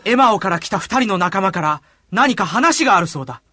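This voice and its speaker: A man playing an excited, commanding disciple in a radio drama, speaking with urgency. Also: high-pitched, brisk, no room sound, exaggerated voice. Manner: urgent, forceful. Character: excited, commanding male disciple Matthew, eager for news.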